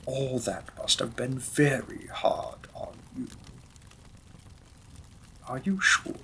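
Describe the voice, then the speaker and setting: Guy doing a demon king for a romance roleplay, slow n gravelly, breathy, intense lover type. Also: mid-range voice, pushed and bright, slight background noise. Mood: tender, concerned. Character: menacing, possessive demon king, secretly a tender lover. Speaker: audio roleplay performer. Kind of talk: dramatic romantic fantasy audio roleplay.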